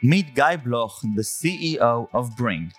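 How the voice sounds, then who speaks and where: A man's voice: mid-range voice, animated, takes it slowly, soft background music. A man hosting a podcast, reading a scripted introduction and then asking the guest questions with emphatic enthusiasm. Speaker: podcast host.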